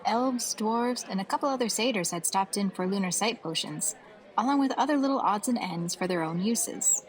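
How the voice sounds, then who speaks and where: A woman's voice: mid-range voice, normal speed. A woman narrating a scripted fantasy story as the storyteller of an audio drama.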